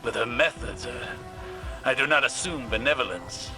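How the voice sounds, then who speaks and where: A man playing a grave, dignified commander in a video game, formal, solemn and measured. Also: medium pitch, expressive, over music, natural voice. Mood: grave, resolute. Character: grave, dignified male commander advising on threat.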